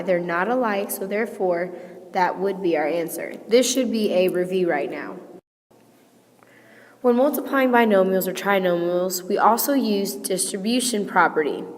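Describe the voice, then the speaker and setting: A woman teacher with a hoarse, failing voice, patiently walking students through a math lesson step by step and checking in with "okay?". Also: medium pitch, in a large hall. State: sick, losing her voice. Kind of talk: recorded classroom lesson.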